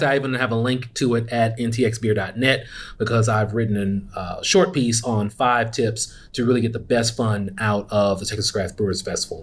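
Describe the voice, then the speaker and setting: A male podcast host with a mid-pitched voice talks through announcements on his own. His delivery is casual and conversational, with an upbeat, promotional manner.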